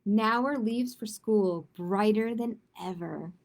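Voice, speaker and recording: A woman's voice: mid-range voice, slow, darkish tone. A woman hosting a children's storytime, reading picture books aloud expressively and adding simple chatty asides with little check-in questions. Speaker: library storytime host.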